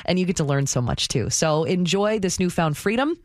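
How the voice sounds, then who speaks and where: A woman hosting live radio news, talking in a chatty, expressive conversational style. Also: lowish voice, brisk, no room sound, studio quality.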